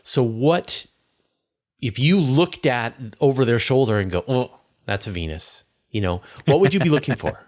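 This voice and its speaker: A man's voice: medium pitch, expressive, normal speed. A middle-aged man hosting a casual interview over a video call, asking conversational questions. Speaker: interview host. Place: indoor room on a video call.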